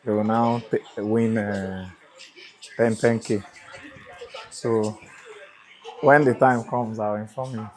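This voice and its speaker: A man, co-hosting and calmly announcing a bonus round. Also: lowish voice, takes it slowly, long pauses, low-quality recording.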